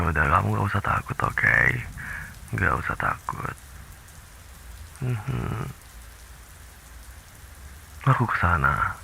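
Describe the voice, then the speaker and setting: A creepy, obsessive young man, played by a man, murmurs in a hushed, cooing way and lets out low chuckles. His very deep voice is gravelly and husky, and he drawls everything slowly. It sounds like an intimate ASMR roleplay. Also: slight background noise, exaggerated voice, rough recording. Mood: creepy tender. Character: obsessive young man secretly haunting your bedroom.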